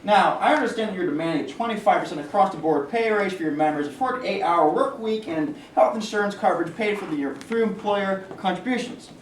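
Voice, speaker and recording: A man's voice: high-pitched, fairly quick, few pauses, natural voice. A man playing a smug, accusing junior factory executive, stern and condescending, in a staged play reading. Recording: echoey room, distant mic, faint noise.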